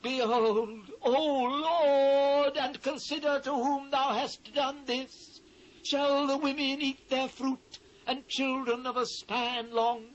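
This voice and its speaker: A British actor playing an anguished biblical prophet, giving a solemn, declamatory, theatrical scripture reading on an old cassette transfer. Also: male voice, very high voice, steady tone, highs cut off, slight background noise. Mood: impassioned grief. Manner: solemn, declamatory, measured phrasing. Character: anguished biblical prophet lamenting a fallen city.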